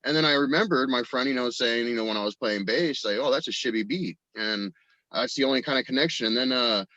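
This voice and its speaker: A man's voice: medium pitch, fast talker, bright, forceful tone, dry recording, dull audio. A man in a casual chat as a guest, telling personal anecdotes in an informal, rambling way. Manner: informal and rambling, quotes people in acted snippets. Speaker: podcast guest, audio drama actor and writer.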